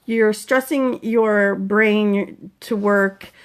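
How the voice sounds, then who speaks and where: A woman's voice: medium pitch, steady tone, very slow, clear, resonant tone. A woman in her forties from Canada, a fitness coach talking casually and earnestly to viewers about recovering from a concussion. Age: 40s. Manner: chatty, earnest, breaks off to greet viewers brightly. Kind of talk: casual live-stream vlog, greeting viewers.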